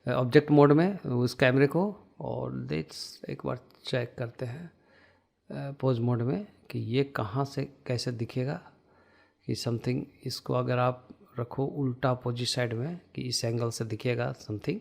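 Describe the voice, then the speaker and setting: A man with an Indian accent giving a casual software lesson, hesitant and thinking aloud as he works. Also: mid-range voice, fairly even intonation, measured pace, gravelly. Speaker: tutorial instructor.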